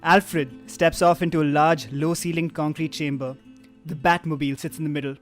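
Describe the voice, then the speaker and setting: A man's voice: a little high, steady tone, normal speed. A man hosting a comedy table read, chatting casually and narrating stage directions. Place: remote online stream. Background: live guitar.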